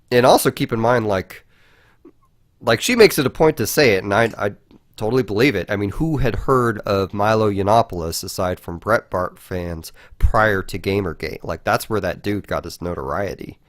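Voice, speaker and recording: Low-voiced man chatting on a podcast. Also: lively intonation, normal speed, no echo, studio quality. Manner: frequently swearing, informal. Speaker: podcast co-host. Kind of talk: casual podcast discussion.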